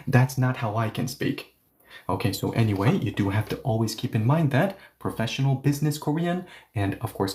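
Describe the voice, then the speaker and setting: A man teaching a language lesson who explains patiently, often pausing on "okay, so" and "yeah", and switches between explanations and slow model readings. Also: medium pitch, darkish tone.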